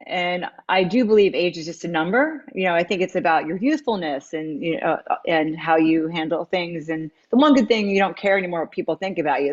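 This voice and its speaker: A woman in her fifties speaks in a lower register, candid and reflective, her thoughts wandering before they land. Her voice arrives over a video call, with dull, muffled lo-fi sound. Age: 50s. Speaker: guest, matchmaker and dating coach. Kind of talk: candid interview conversation.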